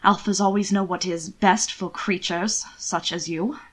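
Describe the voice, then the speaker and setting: A woman's voice: fairly low pitch, steady tone, a little slow, a bit bright. A woman voicing a stern household servant, formal and disapproving, in a period story. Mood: scolding.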